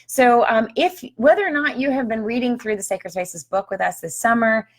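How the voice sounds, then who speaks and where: A woman hosting a casual live video, talking about her book in a chatty, upbeat way with frequent ums, her voice slightly hoarse. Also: on the high side, moderate pace. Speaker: author and marriage counselor hosting a live video. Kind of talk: casual live-stream chat. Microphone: phone or webcam on a live stream.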